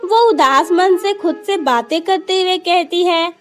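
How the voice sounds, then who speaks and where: A woman storyteller with a very high-pitched voice and an unhurried pace. She narrates a scripted children's tale over background music. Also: a bit bright.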